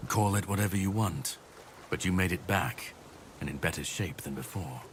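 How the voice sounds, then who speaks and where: An actor playing a grim, world-weary warrior on a mission in a video game, speaking in a grave, measured way. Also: male voice, deep voice, lightly acted. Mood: wry, relieved. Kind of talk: dramatic video game dialogue acting. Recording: clear background noise and ambience.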